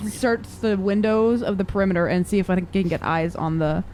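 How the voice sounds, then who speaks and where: A woman's voice: mid-range voice, fairly quick, a little mellow, a little hiss or hum. Eager woman playing a tabletop game. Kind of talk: casual in-game chat. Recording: faint music under the voice. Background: faint background music.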